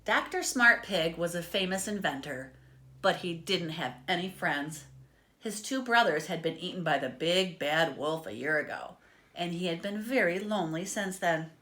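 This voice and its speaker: A woman reading a children's story aloud in an expressive, dramatic way, with asides to her listeners. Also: fairly low pitch, moderate pace. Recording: echoey, roomy sound.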